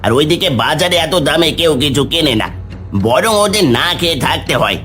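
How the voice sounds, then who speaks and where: Guy acting a village stallholder in a cartoon, sharp highish voice, argues back. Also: lively intonation, a little fast, faint music behind. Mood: defiant. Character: male village market vendor, defiant and pleading. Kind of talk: cartoon character dialogue.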